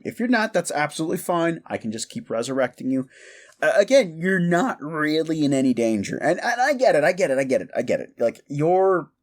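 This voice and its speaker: A man playing an excitable, cheerfully amoral modern necromancer prankster in a comedic audio roleplay, rambling and stammering eagerly. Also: medium pitch. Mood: earnest persuading. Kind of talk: comedic audio roleplay monologue addressed to listener.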